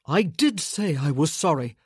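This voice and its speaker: A woman reading a novel, voicing a guilt-ridden, defensive man who talks in a terse, halting, desperate way. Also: deep voice, animated, a little mellow, husky, dry recording, studio quality, heavily acted. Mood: defensive, tense.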